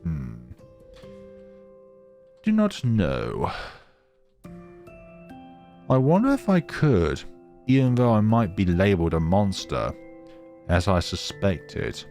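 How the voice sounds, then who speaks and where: Casting: lonely young snow spirit girl, read by a man, melancholy and hesitant, lively swings in pitch, music underneath. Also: slow pace, long pauses, full character voice. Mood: wistful. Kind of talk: visual novel character reading.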